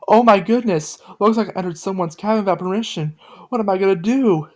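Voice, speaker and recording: A man voicing a frightened young girl who pleads in a high, shrill voice. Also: brisk, close to an everyday voice. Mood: panicked.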